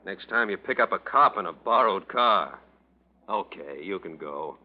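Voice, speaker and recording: An actor playing a brusque, sardonic, suspicious police officer in a 1940s radio drama, talking in tough slang. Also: male voice, low-pitched, expressive, unhurried. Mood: matter-of-fact. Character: gruff, streetwise, suspicious male police officer. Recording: muffled, noisy old radio recording.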